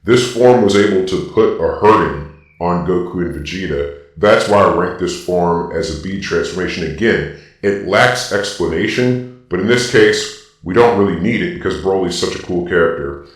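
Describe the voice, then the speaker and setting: A man voicing a robotic dark-lord villain through a robot voice filter, giving opinionated, emphatic casual commentary. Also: deep voice, moderate pace. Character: Darth Vader-like robotic villain explaining things. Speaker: video host ranking anime transformations. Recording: very echoey, distant from the mic.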